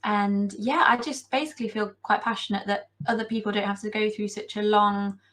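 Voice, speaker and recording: Hoarse, gravelly-voiced young woman. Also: mid-range voice, fairly even intonation, a little fast, a bit bright. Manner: chatty, many fillers. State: hoarse from a cold. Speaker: nutritional therapist guest. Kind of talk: casual video call interview. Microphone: video call.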